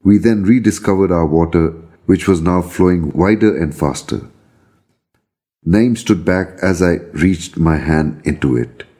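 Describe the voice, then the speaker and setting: A man reading a scripted, first-person story of a visionary journey in a measured, solemn manner. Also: deep voice, mellow. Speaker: storyteller reading own account.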